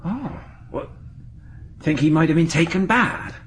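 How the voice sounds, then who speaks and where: An actor playing a steady village police sergeant who speaks slowly and deferentially in a radio drama. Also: male voice, on the high side, a little hiss or hum. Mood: puzzled. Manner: slow, deliberate, deferential. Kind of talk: 1980s BBC radio drama acting.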